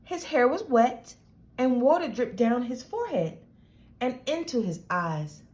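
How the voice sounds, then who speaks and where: Slow, high-voiced woman storyteller. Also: sing-song, a little mellow. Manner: deliberate, dramatic phrasing for young listeners. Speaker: children's storyteller, host. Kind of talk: expressive read-aloud of a picture book.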